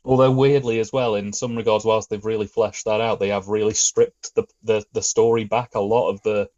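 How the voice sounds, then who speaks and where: Lowish-voiced man on slightly dull audio. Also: little pitch movement, moderate pace, a little mellow. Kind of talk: casual review chat.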